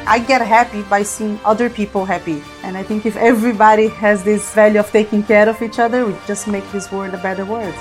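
A middle-aged woman speaks with a Brazilian accent at a medium pitch. She explains things in a reflective, conversational way, like an executive being interviewed, with music faintly present. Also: fairly even intonation, fairly quick, few pauses, low-quality recording. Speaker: interview guest, corporate technology executive.

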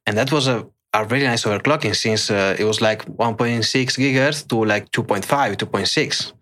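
A middle-aged man with an Italian accent, answering interview questions in a halting way with many fillers and restarts. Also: lowish voice, sharp tone. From Italy. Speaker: guest, extreme overclocker. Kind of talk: casual interview.

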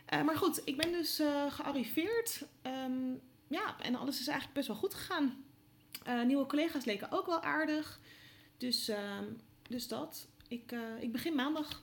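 Audio drama: curious young female reporter, high-pitched, casual, hesitant phone-message delivery, faint background noise. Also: animated, moderate pace. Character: young woman reporter newly moved to town, curious, chatty.

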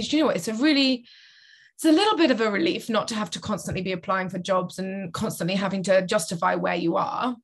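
Croaky young woman chatting. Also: fairly high pitch, fairly bright tone. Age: 20s. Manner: chatty, reflective, quotes her own inner thoughts. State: slightly croaky, tired voice. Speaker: podcast co-host. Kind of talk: casual chat.

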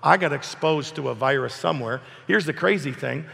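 A man preaching an emphatic sermon while hoarse from a cold, asking his congregation questions. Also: a little high, a little fast, a bit bright, big-room sound. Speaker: pastor. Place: church hall.

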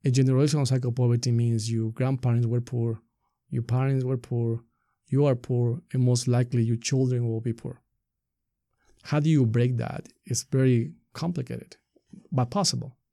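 Gravelly man with an Ecuadorian Spanish accent. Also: mid-range voice, fairly even intonation, moderate pace, dark tone, dry recording, clean studio sound. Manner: earnest, explanatory, uses rhetorical questions. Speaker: nonprofit staff member being interviewed. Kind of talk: reflective interview answers.

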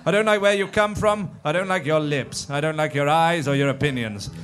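A man's voice: a little high, fairly quick, fairly bright tone, lightly acted. A blunt, grumbling official blusters through his complaints, as a man acts him out with a slightly raised voice. He sounds rude and full of himself, a figure from a comic audio sketch. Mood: blustering.

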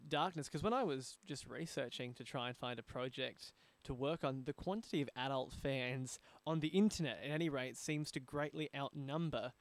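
A man's voice: medium pitch, moderate pace, few pauses. A man speaking as a host, conducting a conversational interview. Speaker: talk show host, interviewer.

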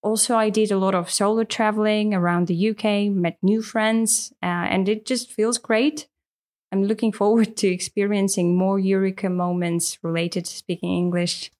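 A woman's voice: medium pitch, steady tone, normal speed, a little mellow. A woman, co-hosting a casual podcast as an English teacher, talking in a friendly, earnest way with frequent fillers. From Russia. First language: Russian.

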